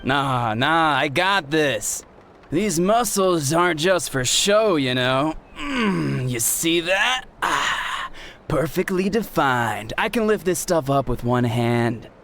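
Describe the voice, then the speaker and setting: A man's voice: on the high side, very animated, takes it slowly, a bit bright, a little hiss or hum, heavily acted. A man voicing a boastful young man in a game who brags about his muscles. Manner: swaggering, boastful. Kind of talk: game cutscene voice acting.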